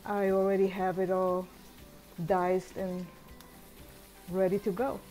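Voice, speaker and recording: Relaxed woman explaining a recipe. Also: medium pitch, slow pace, soft background music, rough recording. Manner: relaxed, chatty step-by-step explaining. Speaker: home cook. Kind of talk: cooking tutorial voice-over.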